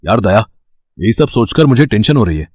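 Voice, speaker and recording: A man voicing an anxious, excitable young man who talks casually and dramatically to his friend in an audio story. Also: lowish voice, brisk, no room sound, clean studio sound. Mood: worried. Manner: colloquial, worried, dramatic.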